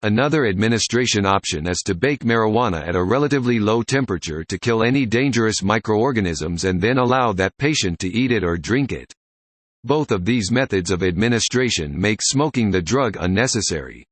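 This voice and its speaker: A man's voice: lowish voice, animated, fairly quick, no echo. A man reading a scripted practice passage aloud as a narrator.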